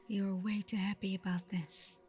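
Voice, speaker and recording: A woman acting a protective, scolding but tender vampire girlfriend in an intimate ASMR roleplay, hushed and often slipping into breathy whispers. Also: medium pitch.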